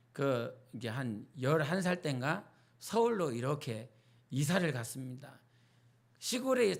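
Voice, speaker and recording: A man's voice: fairly high pitch, vocal fry. A male pastor with a North Jeolla accent, preaching a midweek sermon in a chatty, storytelling way and putting questions to the congregation. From North Jeolla, South Korea.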